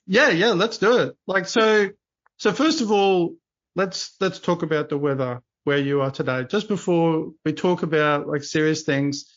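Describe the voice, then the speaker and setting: A man's voice: on the high side, a little slow, muffled recording. A man hosting a podcast interview, chatty and rambling with lots of restarts and fillers. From Australia. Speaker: podcast host. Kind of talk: casual interview chat.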